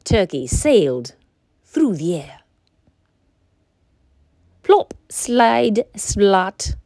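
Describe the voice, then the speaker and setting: A woman reading a children's story aloud as narrator, in an expressive, dramatic manner. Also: mid-range voice, very slow, no echo, clean studio sound.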